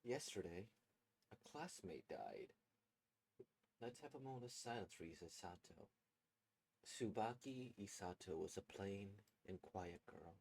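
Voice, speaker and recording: Haunted student narrator for a horror manga, played by a man, slightly low, hushed and anxious. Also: sing-song, measured pace, creaky, pauses often, slightly rough, full character voice, rough recording. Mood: brooding, uneasy. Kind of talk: dramatic horror manga reading.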